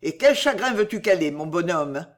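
A woman's voice: on the low side, animated, brisk, natural voice. A woman voicing a troubled young man, curt, defensive and easily flustered, in a storybook reading. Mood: irritated.

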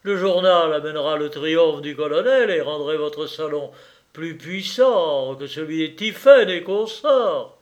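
A man's voice: on the high side, animated, unhurried. A man voicing a scheming provincial lawyer in a storybook reading, insistent and coaxing. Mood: persuasive.

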